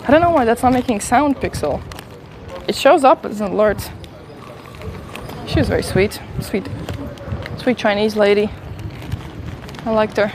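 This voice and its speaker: A woman's voice: mid-range voice, measured pace, long pauses. A woman with a slight Swedish accent chatting casually to her stream viewers outdoors, talking through a phone at arm's length. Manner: chatty, self-deprecating, talks to chat while eating. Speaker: travel streamer. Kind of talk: casual live-stream chat. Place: outdoors on a city street. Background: city traffic and street noise.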